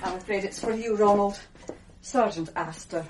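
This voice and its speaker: A woman's voice: mid-range voice, moderate pace, slight background noise, lightly acted, rough recording. An actress playing a gracious, attentive woman hosting a dinner party in a radio drama.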